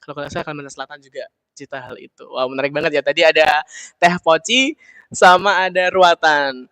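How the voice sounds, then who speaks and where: Young male interviewer from Solo, upbeat and chatty, bright tone, dry close recording. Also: fairly high pitch, fairly quick, clean tone, dry recording. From Solo, Central Java. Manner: upbeat, repeats "oke, siap". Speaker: interviewer host. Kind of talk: casual interview chat.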